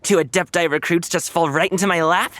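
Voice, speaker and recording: A woman's voice: fairly low pitch, fairly even intonation, brisk, fairly bright tone, lightly acted. A woman voicing a brash, bossy possessed youth in a game, with a pushy, cocky, slangy delivery. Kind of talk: game cutscene acting.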